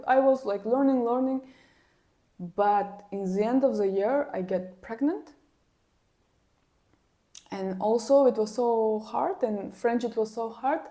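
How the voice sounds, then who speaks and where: Woman w vlogging, slow and mellow, repeats herself a lot when frustrated. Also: on the high side. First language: Kyrgyz. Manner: animated and exasperated, repeats words for emphasis. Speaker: language vlogger. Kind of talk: casual personal storytelling to camera.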